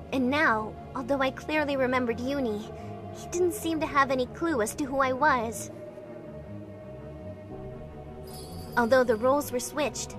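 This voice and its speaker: A fairly high voice of an actress carries an anxious young woman's first-person drama narration over music. She is earnest and emotional, a survivor recounting her story as it unfolds. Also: natural voice. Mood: uneasy, puzzled. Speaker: narrator and lead character in an amateur fan dub. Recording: music playing behind the voice.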